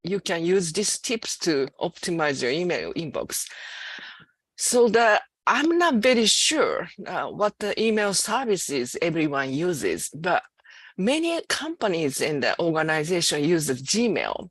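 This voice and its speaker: Woman presenting a training session, halting and hesitant, slow, lively pitch, dry close sound. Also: breathy edge, dry recording. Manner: halting, hesitant with many restarts. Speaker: system developer manager presenting a training. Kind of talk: slide presentation.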